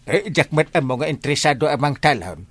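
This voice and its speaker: A man playing an animated, exclaiming village character in an Ilocano comedy radio drama, with an Ilocano accent. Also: on the high side, brisk, dry recording. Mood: chatty.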